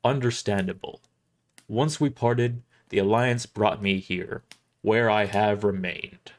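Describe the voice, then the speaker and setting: A man's voice: fairly low pitch, fairly even intonation, slow pace. A man voicing a huge, kindly armored paladin with formal, chivalrous manners in a story reading. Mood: courteous, calm.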